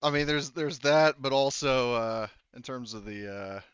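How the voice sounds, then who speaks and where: A man's voice: medium pitch, a little slow, a bit bright, clean tone, no room sound, lo-fi sound. A man casually chatting as a game master, explaining things in a rambling, conversational way. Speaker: game master of an online tabletop roleplaying group. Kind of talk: casual chat, post-game discussion.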